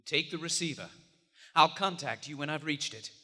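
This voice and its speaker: An actor playing a domineering, driven occult investigator, grave and condescending, in a theatrical horror radio play performed live. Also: male voice, on the high side, moderate pace, sharp tone. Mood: commanding. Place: on stage in a large theater. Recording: live theater hall reverb.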